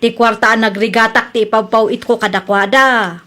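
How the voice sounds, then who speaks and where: A woman's voice: fairly high pitch, steady tone, a little fast, bright, forceful tone. A woman acting a bossy, exasperated wife and mother who runs the household money in a radio drama. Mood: defensive.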